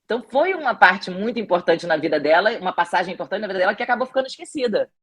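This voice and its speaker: A woman's voice: mid-range voice, expressive, rapid-fire, a bit bright, breathy edge. A woman with a Brazilian Portuguese accent talks through her documentary in a podcast interview. She tells anecdotes reflectively, circling back and restarting her sentences as she searches for the right words. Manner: reflective storytelling with frequent restarts. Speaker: documentary director.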